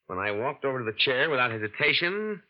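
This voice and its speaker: A man's voice: medium pitch, sing-song, fast talker, natural voice. A man playing a scheming impostor heir. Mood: tense. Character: scheming young man posing as heir, tense. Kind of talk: 1940s radio drama acting. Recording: dull old radio broadcast.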